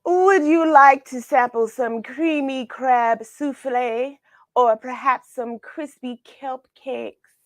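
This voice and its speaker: A woman's voice: high voice, takes it slowly, no echo, voice pushed up. A woman voicing a courteous, fussy fish waiter in a storybook, with a formal and obliging manner.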